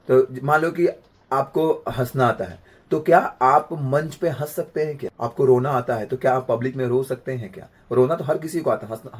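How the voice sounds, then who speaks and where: A man with a slightly husky voice, giving an informal acting lesson in an emphatic way, repeating phrases and checking in with rhetorical questions. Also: medium pitch, darkish tone. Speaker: acting coach.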